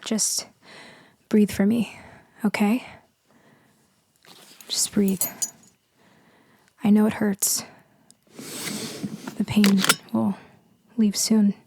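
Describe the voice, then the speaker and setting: Casting: woman as tender vampire rescuer for ASMR roleplay, soft whispery delivery, unhurried, very even pitch. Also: slight background noise. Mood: anxious, soothing. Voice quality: soft and breathy, often dropping to a whisper. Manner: tender, slow, reassuring, hesitant pauses. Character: gentle female vampire soothing someone she saved. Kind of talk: intimate ASMR roleplay acting.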